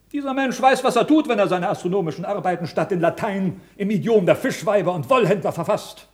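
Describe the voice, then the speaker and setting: A high-voiced, scornful zealous cardinal. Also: male voice. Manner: rhetorical, insistent, scornful. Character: zealous male cardinal, indignant, sly, scornful of doubters. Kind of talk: radio drama acting.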